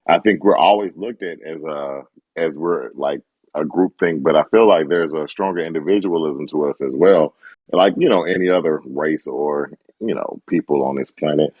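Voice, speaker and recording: A man's voice: rumbling low, normal speed, no room sound, lo-fi sound. A man hosting a podcast, asking a question in a thoughtful way while he searches for his words. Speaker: podcast host. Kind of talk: casual interview question.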